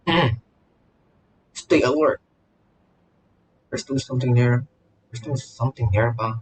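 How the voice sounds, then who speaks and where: A man's voice: mid-range voice, unhurried, a little hiss or hum. A man streaming a video game, giving casual commentary and often repeating his words and phrases.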